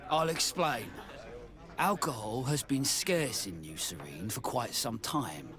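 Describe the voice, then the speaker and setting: An actor voicing a plain-spoken, exasperated tavern keeper in a video game, expressive and grumbling. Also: male voice, medium pitch, slow pace, crowd noise.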